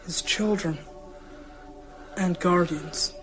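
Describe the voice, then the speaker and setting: A man's voice: high-pitched, drawn-out words, a bit bright, soft background music, full character voice. A young male actor playing a frightened but brave boy vampire hunter in a radio drama. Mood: afraid, hushed.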